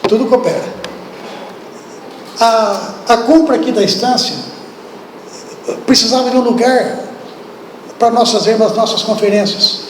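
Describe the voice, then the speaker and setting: A man preaching a sermon with a Brazilian accent, lively and talking straight to the congregation, asking them questions. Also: very high voice, a little slow, pauses often, background noise. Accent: Brazilian Portuguese. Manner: animated, acts out biblical speech, asks the congregation questions. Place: church hall. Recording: echoey hall, distant room.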